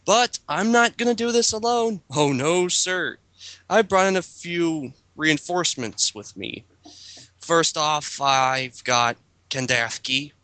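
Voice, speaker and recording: Guy hosting a casual group reading, kinda high and bright voice, really dry sound. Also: takes it slowly, no echo. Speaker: host of a group dramatic reading video. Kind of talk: casual commentary with friends.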